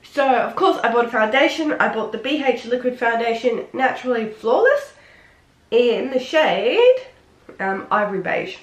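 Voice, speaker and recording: A woman with a head cold, sounding nasal and sniffly, chatting excitedly through a casual makeup tutorial. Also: fairly high pitch, normal speed. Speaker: beauty vlogger. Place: home room. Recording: roomy, echoey room.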